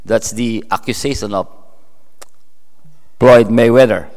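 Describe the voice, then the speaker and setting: A man from the Philippines with a Filipino accent, preaching a sermon in a halting way, full of fillers and restarts. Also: mid-range voice, fairly even intonation, vocal fry, a little mellow. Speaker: pastor. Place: church hall. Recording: long hall reverb.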